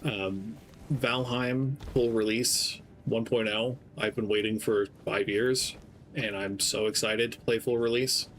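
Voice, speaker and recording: A young man streaming a game, talking in a gentle, soft-spoken, rambling way with lots of ums. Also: lowish voice, steady tone, slow, a little hiss or hum. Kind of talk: casual gameplay stream chat. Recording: game music playing behind. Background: game music.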